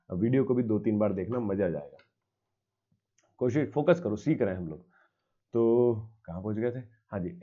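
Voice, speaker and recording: A man's voice: medium pitch, phone-quality sound. A male commerce teacher giving a recorded exam-prep lecture, explaining step by step and answering his own questions. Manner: mixes English terms into his speech.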